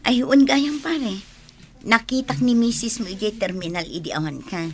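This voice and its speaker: A woman's voice: fairly high pitch, normal speed, a little hiss or hum, close to an everyday voice. A woman with an Ilocano accent playing a gossipy, teasing friend in a radio drama. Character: gossipy, teasing female friend of a driver, a parent.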